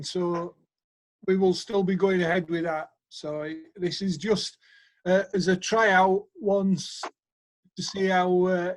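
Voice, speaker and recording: Hesitant high-voiced man chairing a meeting. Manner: hesitant, many uhs, friendly announcements. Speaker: group organiser chairing a meeting. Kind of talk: casual chat. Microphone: video call.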